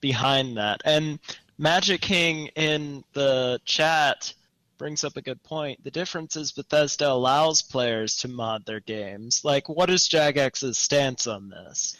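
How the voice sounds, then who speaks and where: A man's voice: medium pitch, takes it slowly, a bit bright, no echo, poor audio. A man talking casually as co-host of a gaming podcast. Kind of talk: casual panel discussion about online games.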